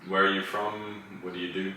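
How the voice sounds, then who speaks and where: Deep-voiced man in an echoey room. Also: flat delivery, fairly quick, pushed and bright. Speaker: podcast host. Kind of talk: casual interview. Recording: roomy echo, distant mic, faint noise.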